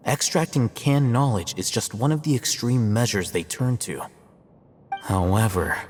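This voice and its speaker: An actor playing a calm, measured male academy scribe who explains things in a scholarly way in a video game. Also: fairly low pitch. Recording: long reverb with game music.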